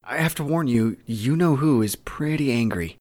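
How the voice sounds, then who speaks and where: An actress playing a genial, concerned member of a household in an audio drama. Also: female voice, very deep voice, lively intonation, normal speed, darkish tone, no room sound, clean studio sound.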